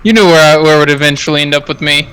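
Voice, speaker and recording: A man's voice: a little high, racing, pushed and bright, clear, resonant tone, low-quality recording. An American man from Arizona chatting casually over a game, making short emphatic arguments. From Arizona, United States. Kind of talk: casual chat while gaming.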